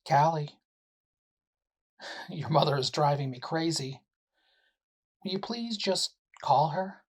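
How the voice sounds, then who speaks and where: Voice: medium pitch, slow pace, slightly rough. An actor playing a weary, concerned middle-aged father leaving a pleading phone message in an audio drama.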